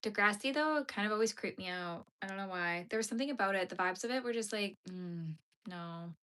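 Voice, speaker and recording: A young woman with a light Canadian accent and a bright, forward voice, talking easily at an average pitch. She chats with her viewers in a relaxed livestream, picking up their comments one by one as she crochets. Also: brisk, clear, resonant tone. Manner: reads and answers chat comments while crocheting. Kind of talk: casual livestream chat.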